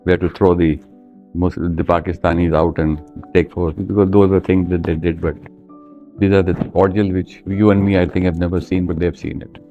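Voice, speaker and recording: A man's voice: low-pitched, flat delivery, normal speed, warm tone, highs cut off, faint music behind. A man in his seventies from India with an Indian accent, telling his life story conversationally as an interview guest, in a plain-spoken, anecdotal way. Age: 70s.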